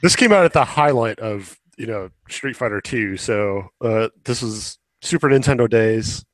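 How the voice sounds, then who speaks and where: A man's voice: fairly low pitch, lively intonation, normal speed, dry recording, poor audio. A man co-hosting a podcast, giving casual commentary in an informal, blunt and opinionated way. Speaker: podcast co-host.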